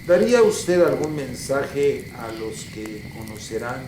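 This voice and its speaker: Locutor mexicano pausado, con eco. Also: male voice, medium pitch, sing-song, very noisy. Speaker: radio drama announcer. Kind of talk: scripted credits and interview questions. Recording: roomy, echoing sound.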